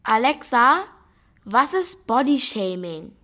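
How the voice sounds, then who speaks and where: Slow, unsure young girl. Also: fairly high pitch, big pitch swings, fairly bright tone, full character voice. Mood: hesitant, curious. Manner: hesitant, subdued. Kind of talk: children's radio play dialogue.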